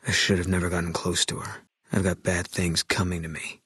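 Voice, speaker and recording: A haunted former soldier turned sniper in a video game, a man with a heavy past. The voice sits low and carries a husky grain, with a dry, close sound. Every line comes out terse, clipped and grim. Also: level tone, fairly quick, sharp tone, dry recording, exaggerated voice. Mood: grim, brooding. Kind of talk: video game character dialogue.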